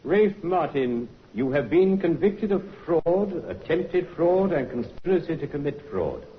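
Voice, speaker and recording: An actor playing a stern, formal judge passing sentence in a 1950s radio drama, speaking in solemn, deliberate pronouncements. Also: male voice, a little high, big pitch swings. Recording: dull vintage radio broadcast with faint hiss.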